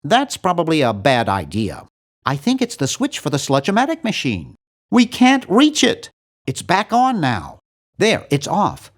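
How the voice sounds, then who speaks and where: A man voicing a nerdy, earnest and anxious young hero in a video game, with a fussy, animated delivery. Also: a little high, unhurried, exaggerated voice. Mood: matter-of-fact, fussy. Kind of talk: video game character voice lines.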